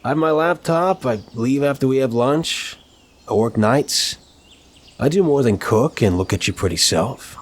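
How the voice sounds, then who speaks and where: A man playing an apologetic, flirtatious mafia boss in an intimate ASMR roleplay, speaking in a courteous, teasing, slightly halting way. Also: mid-range voice, expressive. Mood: flirtatious, relaxed.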